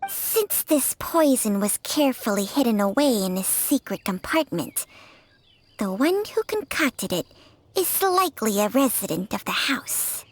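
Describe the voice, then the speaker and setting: A proud ancient spirit speaks in a high, lively voice. She teases and boasts with easy self-assurance. Faint noise sits behind this game dialogue. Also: unhurried. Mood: reasoning. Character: ancient female snake spirit, sly and proud.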